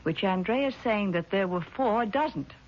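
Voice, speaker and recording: An actress playing a worried, evasive woman in a 1970s radio drama, speaking in a hesitant, measured way. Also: mid-range voice, animated. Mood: anxious. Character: worried, evasive sister with designs on an estate. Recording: dull, noisy vintage radio recording.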